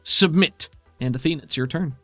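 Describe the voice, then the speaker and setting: A man's voice: medium pitch, normal speed, no room sound. A man acting as game master, narrating and explaining rules in a conversational way. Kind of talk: narrating and refereeing a tabletop RPG. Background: faint music.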